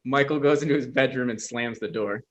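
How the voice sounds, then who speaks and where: A man's voice: mid-range voice, a little fast, fairly bright tone, a little hiss or hum. A man giving scripted narration, reading out the stage directions for a table read.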